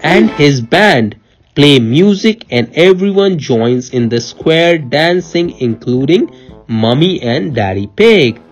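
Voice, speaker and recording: A woman reading a children's picture book aloud in an expressive, playful, teacherly way, pausing to put questions to a child. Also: deep voice, breathy edge, soft background music. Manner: expressive, playful, teacherly, repeats answers and prompts. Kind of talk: animated read-aloud storytelling with questions to a child.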